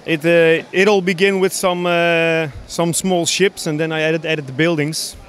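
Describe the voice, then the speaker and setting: Higher-voiced Dutch man explaining his hobby. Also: flat delivery, unhurried, fairly bright tone. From Netherlands. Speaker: hobby model builder, interviewee. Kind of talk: casual interview explanation. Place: large busy exhibition hall, indoors. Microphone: handheld interview microphone.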